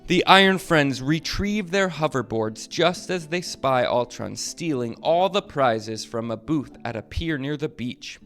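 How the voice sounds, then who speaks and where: A man narrating a children's book aloud with expressive, dramatic emphasis. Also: a little high, measured pace, hardly any pauses, soft background music. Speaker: storybook narrator.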